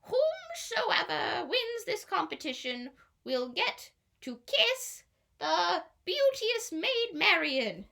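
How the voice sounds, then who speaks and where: A woman voicing a pompous, bossy male king who speaks slowly and grandly. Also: very high voice, very animated, creaky, pushed and bright, voice pushed up, heavily acted.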